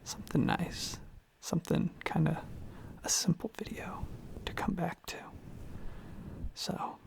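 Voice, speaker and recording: A person whispering a gentle, soothing ASMR ramble in a breathy voice with some fry, softly repeating phrases close to the microphone. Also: medium pitch, fairly even intonation, slow pace. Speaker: ASMR video creator. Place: own room.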